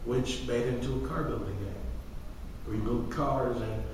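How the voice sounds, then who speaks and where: A man's voice: medium pitch, moderate pace. An echoey, hall-like recording catches a young man some way from the microphone, with faint noise behind him. He chats casually as a podcast co-host, pushing his opinions hard, with sarcasm and the odd curse. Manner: emphatic, ranting, sarcastic, sweary. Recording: echoey hall, distant mic, light noise.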